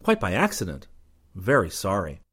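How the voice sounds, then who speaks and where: Man voicing a young space lieutenant, smooth feigned innocence, storybook reading. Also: low voice, huge pitch swings, normal speed, fairly bright tone, exaggerated voice. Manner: innocent-sounding, smooth excuses. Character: young male lieutenant, quick-witted and bluffing.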